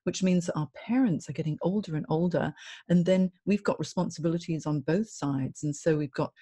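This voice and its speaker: A woman's voice: fairly low pitch, fairly quick, husky, dry recording. A middle-aged woman who grew up in Hong Kong, hosting a casual video call chat as a career coach, talking expressively and acting out quotes. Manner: animated, expressive, acts out quotes. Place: home office with plants. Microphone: video call, studio microphone in frame.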